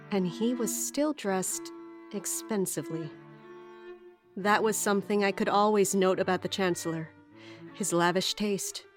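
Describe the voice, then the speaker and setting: A woman portrays a small but resolute captain of the guard in a fantasy audio drama. Her mid-range voice is formal and measured, commanding yet guarded, with music underneath. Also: medium pitch, lightly acted. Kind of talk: fantasy audio drama acting with first-person narration.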